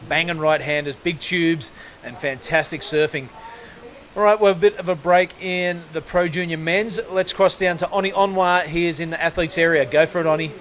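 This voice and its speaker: A man's voice: fairly high pitch, normal speed, a bit bright. A man hosting live surf commentary, calling rides in a laid-back, matey way.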